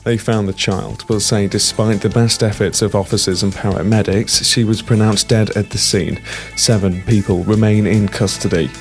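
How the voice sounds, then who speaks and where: A man's voice: fairly low pitch, fairly even intonation, normal speed, soft background music. A man delivering a scripted radio news report.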